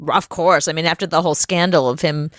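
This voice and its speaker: A woman co-hosting a radio show in casual comedic chat, chatty and animated in her storytelling. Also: low-pitched, fairly quick, dry recording, clean studio sound.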